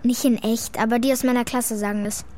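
A woman's voice: fairly high pitch, fairly even intonation, brisk, warm tone, natural voice. A female performer playing a blunt, cheeky schoolgirl in a children's radio play, speaking in a colloquial, matter-of-fact way. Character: blunt, cheeky schoolgirl telling her story reluctantly.

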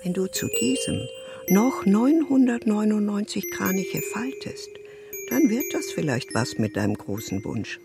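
A woman's voice: mid-range voice, very animated, unhurried, vocal fry, over music. An actress playing an affectionate elderly woman in a German children's radio play. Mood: gently instructive. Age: older. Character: kindly elderly woman with failing eyes, affectionate.

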